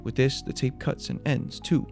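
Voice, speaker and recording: A man's voice: medium pitch, steady tone, moderate pace, vocal fry, music in the background. A man giving a scripted breakdown of a horror video as its creator, in voice-over narration.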